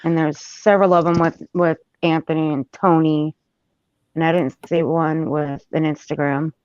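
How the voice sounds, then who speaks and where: A woman's voice: low-pitched, little pitch movement, moderate pace, a little mellow, dry recording. A woman chatting casually as a panelist on a true-crime livestream, over dull online call audio. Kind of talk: casual group livestream chat.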